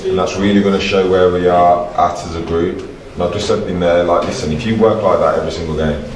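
A man's voice: low voice, fairly even intonation, fast talker. A middle-aged man, speaking casually and colloquially as a football manager in a post-match interview, often repeating his phrases. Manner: colloquial, repeats phrases, addresses listener as mate. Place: indoor stadium corridor with bare block walls. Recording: roomy, distant mic, crowd chatter behind.

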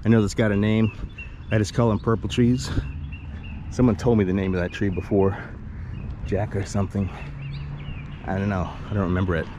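A man's voice: on the low side, level tone, normal speed, long pauses. Man, 40s, rambling vlog talk, action cam at arm's length, outdoor street noise. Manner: rambling, jokey, chatty self-talk. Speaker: vlogger. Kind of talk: casual walking tour vlog. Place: outdoors on a sunny residential street. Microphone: action camera held at arm's length. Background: street ambience and passing cars.

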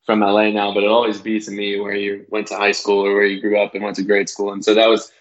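A man's voice: fairly low pitch, monotone, quick pace. An American man in his thirties from Texas, chatting casually as an interview guest on a slightly dull recording. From Texas, United States. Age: 30s. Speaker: film director, podcast guest.

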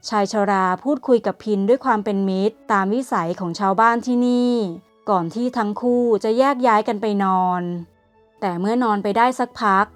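A woman storyteller reading a scripted bedtime tale for children. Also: medium pitch. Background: music.

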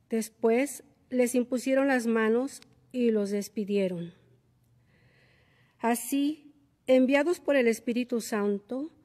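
A young woman reads scripture slowly and carefully at a church lectern microphone. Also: a little high, a little mellow. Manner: slow, careful reading. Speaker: lector and psalm cantor. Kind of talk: liturgical scripture reading. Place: large church, at a wooden lectern. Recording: long church reverb.

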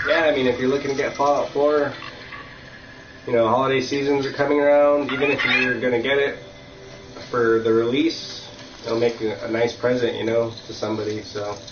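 A man's voice: medium pitch, flat delivery, normal speed, noisy background. Sniffly man streaming from a roomy home. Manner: rambling, filler-heavy, easygoing. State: has a cold, sniffly. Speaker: game streamer. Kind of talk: casual live-stream chat while playing. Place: room at home. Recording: distant, roomy, dull lo-fi mic.

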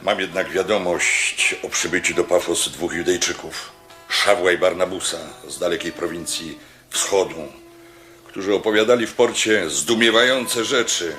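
A man's voice: low-pitched, normal speed, pushed and bright. An actor playing a deferential old soldier-servant reporting formally to his Roman master in a radio drama. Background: music.

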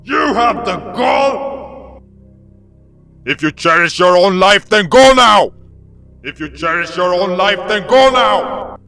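Cartoon lion king: furious, pompous menace, fairly high forceful voice, deliberate pace. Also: animated, vocal fry, exaggerated voice. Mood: roaring rage. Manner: pompous, menacing, growling threats. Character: furious, imperious lion tyrant of the jungle. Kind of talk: animated cartoon acting. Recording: music behind the voice.

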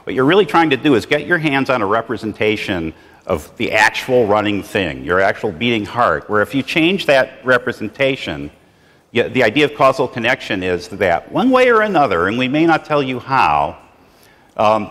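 A man's voice: on the low side, sing-song, moderate pace, fairly bright tone. Middle-aged man lecturing in a reverberant hall. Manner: rambling, loose and conversational, heavy on "you know" fillers. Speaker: conference speaker. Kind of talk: conference lecture. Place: lecture hall with projected slides.

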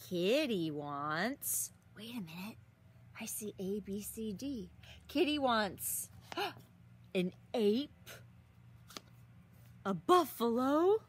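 A woman in her thirties reading a picture book aloud to young children outdoors, expressively, with exaggerated gasps and drawn-out words. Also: mid-range voice, animated, husky. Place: outdoors in a leafy garden. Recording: outdoor recording with some ambient noise.

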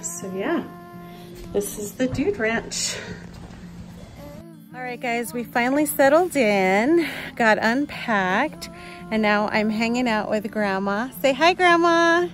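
A woman's voice: a little high, animated, slow, long pauses, soft background music. A woman in her thirties narrating a casual vlog into a handheld phone, gushing as she addresses her viewers. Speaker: vlogger. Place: outdoors at a campground and inside cabins. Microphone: handheld phone at arm's length.

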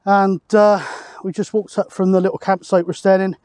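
Higher-pitched British man, outdoors. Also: flat delivery, normal speed, darkish tone, no echo, studio quality. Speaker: vlogger, CB radio hobbyist. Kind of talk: casual outdoor vlog talk. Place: open foggy grassy hilltop, outdoors. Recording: noisy outdoor hilltop camera audio.

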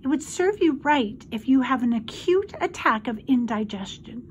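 A woman voicing a calm, motherly female spider who chides softly, in a storybook reading. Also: high-pitched, moderate pace, a little hiss or hum. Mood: reproving. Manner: soft, sighing, chiding.

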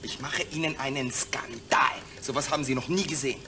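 A man's voice: medium pitch, brisk, natural voice. A man playing a proud, demanding astronaut patient in a science-fiction radio drama, speaking in an emphatic, articulate way. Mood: defiant, indignant.

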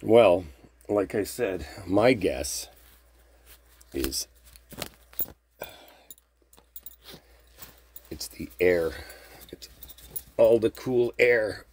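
An easygoing, affectionate boyfriend as a man acts him out for an ASMR roleplay. His voice is low and mellow, a little husky, and he talks softly and sleepily, as if very near. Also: animated, full character voice. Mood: sleepy, affectionate. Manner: soft, drowsy, intimate, unhurried.

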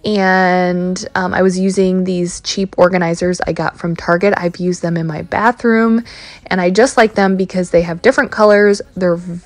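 A woman in her thirties talks through her cleaning and decluttering in a loose, chatty voice-over, full of "um" and "you know". Her voice sits fairly low for a woman. The recording is dry and close, with almost no room sound. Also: normal speed, no echo. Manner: chatty, relaxed, with many fillers like "um" and "you know". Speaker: home cleaning vlogger. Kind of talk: casual voice-over narration. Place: home kitchen.

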